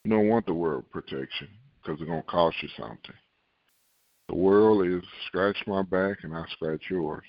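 A very deep-voiced man preaches a sermon, reading scripture and slowing down to repeat his key lines. He acts out fretful believers in a higher, louder voice. The recording is dry and a little dull. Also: no echo. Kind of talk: podcast sermon.

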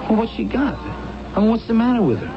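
A bewildered, anxious husband in a radio drama. His pitch jumps about in a lively way as he stammers and presses for answers. The old broadcast sounds muffled, with music behind it. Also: fast talker, creaky, full character voice. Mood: anxious, insistent. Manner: hesitant, stammering, insistent questioning. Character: anxious bewildered husband at his sick wife's hospital bedside.

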